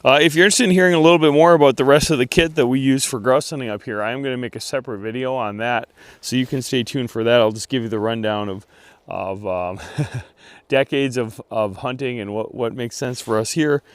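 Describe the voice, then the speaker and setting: Brief: male product reviewer, casual and unscripted, thinking aloud with halting phrasing, dry recording free of room echo. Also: medium pitch. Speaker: gear reviewer.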